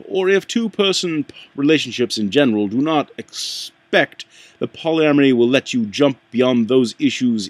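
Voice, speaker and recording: Halting man reading aloud, lively pitch. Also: no room sound. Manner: halting, stumbles and rereads lines; puts on an accent while reading. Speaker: amateur reader.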